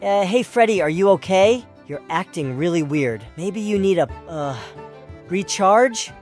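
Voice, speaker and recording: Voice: mid-range voice, expressive, unhurried, darkish tone, lightly acted. A performer plays a young boy in a cartoon dub. He is nervous and urgent, stammering and pleading as he speaks over music. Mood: concerned. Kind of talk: comic dub character acting.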